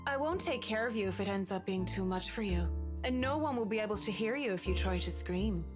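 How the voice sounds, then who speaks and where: An actress playing a guarded, sharp-tongued woman in a visual novel game, with a teasing, challenging manner. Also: mid-range voice, expressive, a little fast, over music, natural voice. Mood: teasing, daring.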